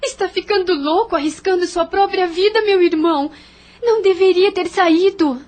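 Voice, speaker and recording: A woman's voice: very high voice, normal speed, a little mellow. An actress playing an anxious young woman who pleads with her brother in an audio drama. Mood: worried. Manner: pleading, worried.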